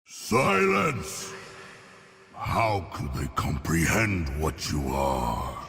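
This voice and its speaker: A menacing ruler of the deep for a video game. His voice is extremely low and very slow, drenched in long reverb. He reproaches with theatrical, bitter scorn and condescension. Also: huge pitch swings, gravelly, fairly bright tone, soft background music, heavily acted. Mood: scornful, menacing. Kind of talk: video game villain dialogue.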